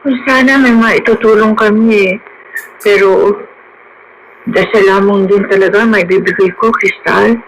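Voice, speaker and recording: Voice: mid-range voice, fairly even intonation, unhurried, long pauses, fairly bright tone, rough recording. An actor with a Filipino accent playing a caring, encouraging young school friend in a radio drama. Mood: concerned, consoling. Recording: radio drama with faint noise.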